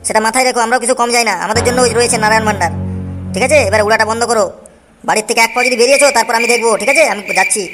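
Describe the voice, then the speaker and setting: A man voicing a disgruntled, coarse husband in an amateur comedy cartoon, grumbling and hectoring, with artificially raised pitch. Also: fairly even intonation, very fast. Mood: angry, threatening. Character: disgruntled, bitter husband railing at his family. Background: faint music.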